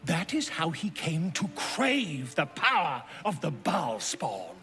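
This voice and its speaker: Pompous game bard, flowery and matey, bright high voice, super theatrical. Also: male voice, wildly swooping pitch, takes it slowly, faint music behind, full character voice. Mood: ominous, dramatic. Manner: theatrical, florid, grandiloquent storytelling. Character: flamboyant, self-important male bard and chronicler, florid and chummy. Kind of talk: video game character acting.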